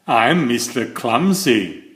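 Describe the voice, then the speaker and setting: A cheerful, bumbling, untidy man from a children's storybook, voiced by a male narrator. He sounds breezy and a little sheepish, with lively swings in pitch. The recording has a roomy echo. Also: slow pace. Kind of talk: storybook character voice.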